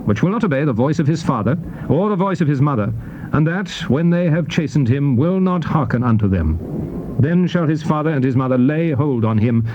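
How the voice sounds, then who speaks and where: A British man narrating scripture in a measured, formal, declamatory style on a dull, noisy old cassette recording. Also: medium pitch, dark tone. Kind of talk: solemn dramatized Bible reading.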